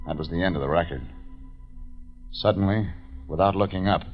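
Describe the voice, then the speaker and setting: A man acts as a hardboiled private detective from an old radio play. His voice sits low and his delivery is clipped, wry and tough, on a dull vintage recording with music behind. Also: fairly quick, lightly acted. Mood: terse, suspenseful. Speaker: actor playing the lead and narrator. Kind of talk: 1940s hardboiled detective radio drama narration.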